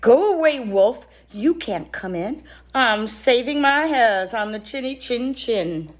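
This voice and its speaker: A grumpy little storybook pig, played by a woman reading aloud, curt and scolding. He is irritable and rude, with a lively, jumping pitch as he sends visitors away. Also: slow pace. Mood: annoyed yelling. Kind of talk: animated children's storybook read-aloud.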